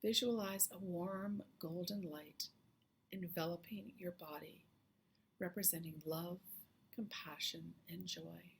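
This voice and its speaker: Female meditation guide: slightly low register, gentle half-whispered phrase endings, unhurried and calming, faint ambient noise underneath. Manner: soft, hushed, often breathy phrase endings; slow, soothing, with long pauses.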